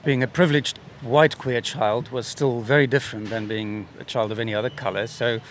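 A man's voice: medium pitch, fairly even intonation, slight background noise. Thoughtful South African man in his fifties. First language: Afrikaans. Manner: thoughtful, measured, with hedges and restarts. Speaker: author guest. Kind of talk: reflective interview talk. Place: busy book festival venue.

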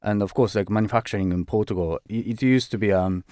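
A man with a low, smooth voice speaks as an interviewed fashion director. He talks in a halting way, leaning on "like" and "uh" and restarting words. The sound is dry and close, with no room echo. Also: a little mellow. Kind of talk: conversational interview.

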